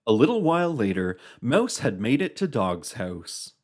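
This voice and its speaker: A narrator reading a scripted children's puppet show story with an expressive storybook delivery. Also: mid-range voice, moderate pace.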